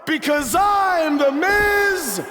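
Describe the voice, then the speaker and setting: An actor playing a cocky, mocking wrestler cutting a theatrical, boastful promo in a video game. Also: male voice, very high voice, expressive, extremely slow, shouting, loud music behind the voice, heavily acted. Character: cocky, mocking upstart male wrestler, champion of his made-up title. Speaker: wrestler character. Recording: echoing arena reverb with background noise.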